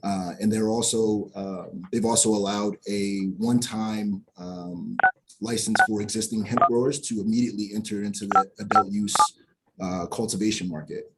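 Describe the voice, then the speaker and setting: A man giving public testimony at a hearing as a policy advocate, earnest and halting, with frequent fillers and restarts. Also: on the low side, fairly even intonation, background noise.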